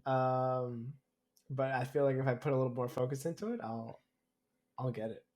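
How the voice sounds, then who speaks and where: A man's voice: mid-range voice, normal speed, a little mellow. A young man chatting informally as a podcast co-host. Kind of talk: casual chat about games and movies.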